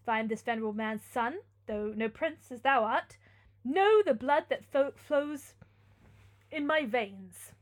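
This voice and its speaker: Lady doing a noble young guy from an old gothic novel, high voice, proud and formal. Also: expressive, a little slow. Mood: indignant pride. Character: proud, dignified young peasant hero. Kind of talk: gothic novel read aloud.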